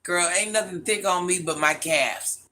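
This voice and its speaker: Woman livestream host, sharp bright voice, teasing and chatty with her chat. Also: fairly low pitch, normal speed. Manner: teasing, talks straight to viewers by name, laughs often; calls herself Granny. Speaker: livestream host reading viewer comments.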